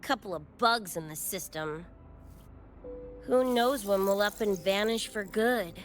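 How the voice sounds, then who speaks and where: A woman's voice: mid-range voice, measured pace, over music, natural voice. A woman playing a brash, scrappy young cyberpunk gang member in a game, with cocky, slangy talk and a wistful side. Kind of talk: animated game character dialogue.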